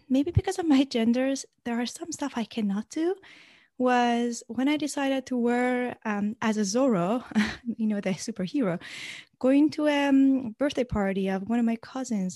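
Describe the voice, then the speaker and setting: Bright-voiced woman from Iran, halting. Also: fairly high pitch, no echo, clean studio sound. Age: young adult. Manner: halting, many fillers and restarts. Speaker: guest. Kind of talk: casual interview answer.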